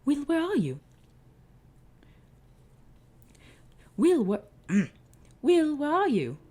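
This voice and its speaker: Woman voicing a stately young pharaoh, formal speech, high voice, lively pitch. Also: measured pace, full character voice. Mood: calling out. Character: haughty young man, an Egyptian pharaoh, worried.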